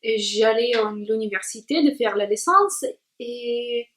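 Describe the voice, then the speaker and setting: Young woman, learner's vlog, slow and careful, slightly high voice. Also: a bit bright. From Latvia. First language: Russian. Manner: hesitant, many filler sounds while searching for words; careful, deliberate language-learner delivery. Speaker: vlogger. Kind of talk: self-introduction vlog.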